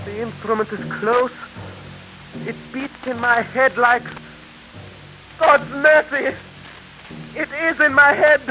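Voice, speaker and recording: A man's voice: very high voice, full character voice. A man acting a tormented, murderous man driven mad by music, in a theatrical 1940s radio drama style. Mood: feverish. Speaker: lead actor in a radio play. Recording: muffled old radio broadcast with loud music.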